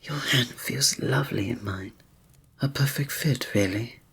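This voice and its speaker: Man playing a soft, breathy, gallant fantasy innkeeper. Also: medium pitch, a little slow, full character voice. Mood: tender, slightly flustered. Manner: formal, old-fashioned, gallant, gentle phrasing. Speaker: ASMR roleplay performer. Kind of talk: scripted romantic ASMR roleplay.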